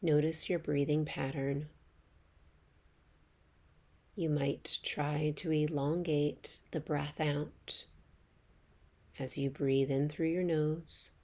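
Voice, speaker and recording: A woman with a low voice leads a guided meditation. Her delivery is very slow and measured, with pauses between short phrases. She sounds gentle and soothing as she gives relaxation instructions. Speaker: yoga nidra meditation guide.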